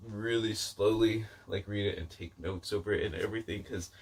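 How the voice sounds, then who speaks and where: A man's voice: lowish voice, fairly even intonation, a little fast, gravelly, husky, distant mic. A man giving a casual, rambling book-review chat to viewers, informal and self-deprecating in his delivery. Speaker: book vlogger.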